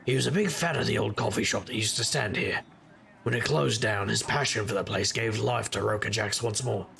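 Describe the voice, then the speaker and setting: An actor playing a wry, sardonic supernatural private investigator who narrates in conversational noir style. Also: male voice, lowish voice, brisk, creaky, bright, forceful tone, slight background noise, full character voice. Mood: wry, reflective. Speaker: narrator and lead character. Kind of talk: noir first-person scripted narration.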